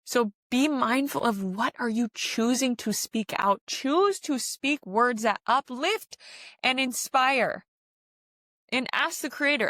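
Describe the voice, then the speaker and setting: A woman's voice: fairly high pitch, a bit bright, no echo. A woman hosting a spiritual self-help podcast, speaking earnestly and urging the listener on, often repeating phrases for emphasis. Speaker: podcast host.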